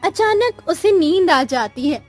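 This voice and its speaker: A woman narrates a scripted moral tale. Her voice is high and her pace is slow and measured. Soft music plays behind her. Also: animated, no room sound.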